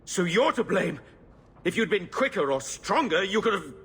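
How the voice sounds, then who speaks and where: A man playing a distraught, bitter uncle in a fantasy video game, with a rough voice and forceful, emotional delivery. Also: high-pitched, very animated, moderate pace, exaggerated voice. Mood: bitter, anguished. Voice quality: raspy, rough. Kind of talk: dramatic video game dialogue. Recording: reverberant hall sound.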